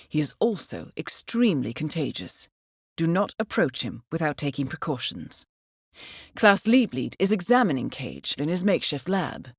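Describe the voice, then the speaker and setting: An actress playing a composed, coolly professional intelligence handler who guides an assassin in a video game, measured and dryly wry. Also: female voice, fairly low pitch, dry recording, studio quality. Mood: serious, briefing. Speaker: mission handler. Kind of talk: scripted video game mission briefing.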